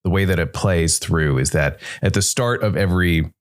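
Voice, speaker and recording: A man hosting a tabletop gaming show, explaining how a game works in a measured, informal review style. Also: low-pitched. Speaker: solo RPG show host.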